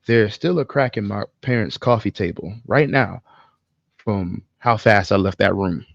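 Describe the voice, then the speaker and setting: Male co-host, gravelly voice, foul-mouthed casual storyteller, dry but slightly muffled sound. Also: on the low side, measured pace, no room sound. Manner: animated, profane, slangy. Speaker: podcast co-host.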